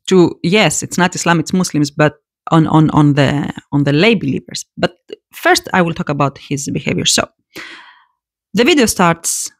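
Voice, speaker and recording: Woman commentator, lower voice with a lot of swing in it, dry close sound, restarts phrases as she talks. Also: no echo. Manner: animated, repeats words and restarts phrases. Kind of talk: casual spoken commentary.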